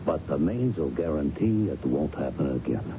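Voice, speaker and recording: An actor playing a cocky, streetwise smuggler who slickly pressures people in a radio drama. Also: male voice, animated, normal speed. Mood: smooth pressure. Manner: streetwise slangy talk, needling and insistent. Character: cocky, pushy male smuggler, a slick persuading crook. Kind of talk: 1970s radio drama acting. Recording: dull, noisy, rumbling 1970s radio recording.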